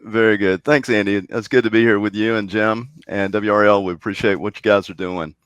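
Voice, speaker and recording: A man's voice: fairly low pitch, steady tone, fairly quick, fairly bright tone, clear, resonant tone, no room sound, lo-fi sound. A man speaking as an interview guest, explaining things in a conversational way. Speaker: interview guest, contest program director.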